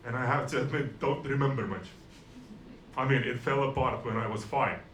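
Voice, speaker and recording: A man delivers stand-up in a dry, self-deprecating way, often stumbling and restarting his sentences. His voice sits at an ordinary middle pitch. The room is echoey, with a faint hiss under him. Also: normal speed, a bit bright. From Estonia. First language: Russian and Estonian. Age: 40s. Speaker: stand-up comedian. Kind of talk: stand-up comedy set.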